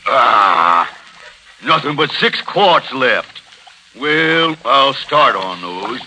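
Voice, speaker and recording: Casting: a bragging Western cowboy bully, forceful and piercing, highly animated delivery, vintage radio sound. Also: male voice, extremely slow, slight background noise, full character voice, poor audio. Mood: swaggering. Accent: American Western. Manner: folksy drawling brag, blustering. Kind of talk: 1940s radio comedy acting. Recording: vintage 1940s radio broadcast.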